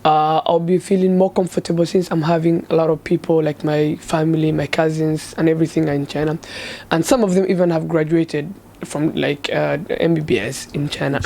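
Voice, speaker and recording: A man in his twenties from Somalia, a medical student giving casual, halting answers full of "like". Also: on the high side, level tone, gravelly, a little mellow. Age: 20s. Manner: hesitant, filler-heavy, frequent "like". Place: student dormitory room.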